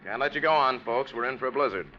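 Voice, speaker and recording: An actor playing an easygoing road ranger in an old radio drama, folksy and matter-of-fact. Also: male voice, medium pitch, fast, close to an everyday voice. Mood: easygoing, official. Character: easygoing male road ranger at a snowy checkpoint. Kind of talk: 1940s radio acting. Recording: dull, faintly noisy old radio recording.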